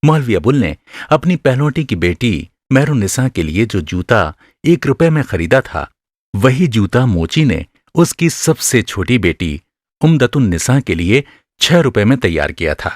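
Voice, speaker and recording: A man's voice: mid-range voice, sing-song, no echo, clean studio sound. A man narrating a literary short story for radio drama in a scripted, dramatic storytelling style.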